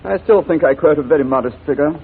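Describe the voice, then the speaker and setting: An actor playing a suave, brazen blackmailer in a 1940s radio drama, glib and nonchalant with mock courtesy. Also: male voice, a little high, fast, slightly rough. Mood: brazenly nonchalant. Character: suave, brazen male blackmailer and killer, coolly evasive. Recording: thin, phone-like 1940s radio recording.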